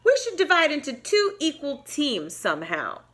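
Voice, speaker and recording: A woman voicing a small, brave female mouse in a storybook, with a squeaky, eager voice. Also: wildly swooping pitch, normal speed, a bit bright, full character voice. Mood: earnest.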